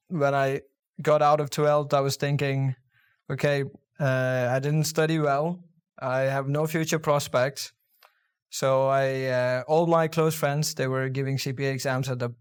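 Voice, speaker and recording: A man's voice: medium pitch, smooth. A man, a guest in a podcast interview, explaining earnestly with many fillers and restarts. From India. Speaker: guest, accountant.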